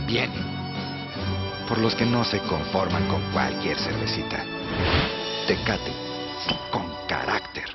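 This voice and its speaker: A man with a very deep voice reads a commercial slowly and deliberately over a loud music bed. The broadcast audio is dull and lo-fi. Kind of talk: scripted ad read.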